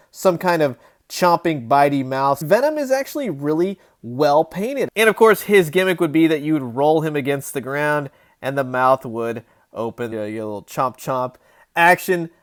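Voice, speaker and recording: A man in his thirties giving a casual, enthusiastic toy review, chatty and emphatic as the host. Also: a little high.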